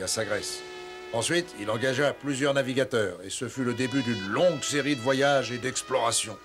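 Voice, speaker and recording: A man's voice: medium pitch, fairly bright tone, natural voice. A man playing a nostalgic, proud old seafarer who instructs and reminisces in a dubbed cartoon. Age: older. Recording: music behind the voice.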